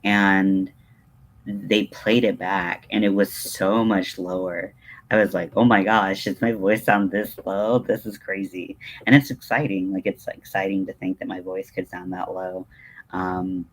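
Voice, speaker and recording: A man with a low-pitched voice chats casually as a livestream host. He takes his time, hesitating often and drifting into tangents in a self-deprecating way. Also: steady tone, unhurried, rough recording. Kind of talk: casual rambling livestream chat.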